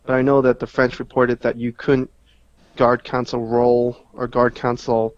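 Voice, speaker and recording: A man's voice: mid-range voice, completely flat delivery, a little slow, no echo, highs cut off. A man giving casual, unscripted analysis as a guest player in a gaming discussion, explaining points in a loose, conversational way.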